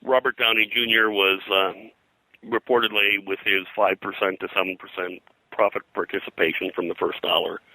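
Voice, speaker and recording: An older man with a deep voice and a strikingly sharp, forceful edge. He talks as a historian being interviewed, wandering through anecdotes with hesitant phrasing. The recording is close and dry. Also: flat delivery, creaky, no echo, poor audio. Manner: halting, discursive, hesitant phrasing. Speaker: interview guest, pop culture historian. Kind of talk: casual interview, anecdotal storytelling.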